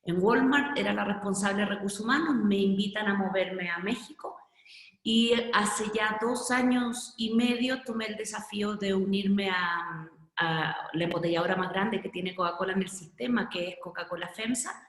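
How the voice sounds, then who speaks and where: A woman's voice: medium pitch, normal speed, a bit bright. A woman from Chile in her forties, speaking as an HR director on an online panel, earnest and reflective, often restarting her phrases. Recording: echoey room with long reverb.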